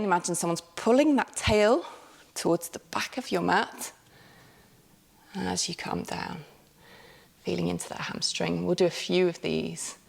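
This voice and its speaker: A woman yoga teacher calmly cueing a class step by step, with light laughs and the odd self-correction. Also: lowish voice, gravelly. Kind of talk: guided yoga class instruction.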